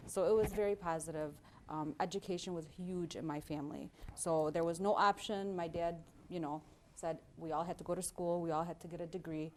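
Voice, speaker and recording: A woman's voice: lowish voice, fairly even intonation, a little fast, a little hiss or hum. A woman in her forties, a guest on an interview show, talking earnestly with frequent fillers. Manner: warm and earnest, with many fillers. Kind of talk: casual interview talk.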